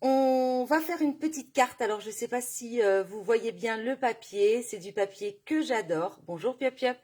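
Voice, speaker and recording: A woman with a cold and sore throat, hosting a casual craft live stream and greeting viewers by name, chatty with many fillers. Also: on the high side.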